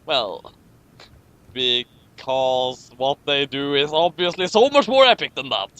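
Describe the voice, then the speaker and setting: A man's voice: mid-range voice, takes it slowly, fairly bright tone. A man doing a comic read-aloud with commentary, often stumbling over his words and rereading lines. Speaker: commentary host reading fan fiction.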